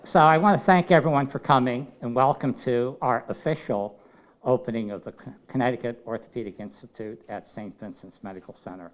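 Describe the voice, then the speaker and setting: A man's voice: medium pitch, brisk, dull audio. A man who heads a regional health system, giving a plain, friendly welcome speech at a ribbon-cutting ceremony. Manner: plain, friendly, a little self-deprecating. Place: ceremony at a medical center with a crowd.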